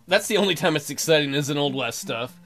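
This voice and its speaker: A man's voice: fairly high pitch, animated, quick pace, fairly bright tone, faint music behind. A man doing jokey, crude, rambling commentary as he plays and reads a game aloud. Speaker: let's play host.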